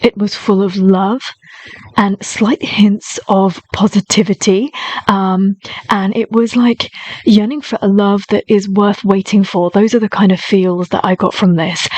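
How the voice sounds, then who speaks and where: A woman's voice: mid-range voice, steady tone, moderate pace, a little mellow, dry recording. Chatty woman hosting a reaction video. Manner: chatty, eager and apologetic. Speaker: reaction channel host. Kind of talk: casual reaction commentary.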